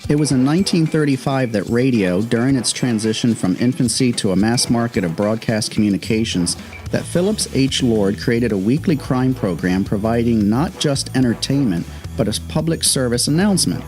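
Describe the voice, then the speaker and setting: A male host with a medium-pitched voice reads scripted historical commentary. Music plays behind him as he narrates. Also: animated, moderate pace, darkish tone.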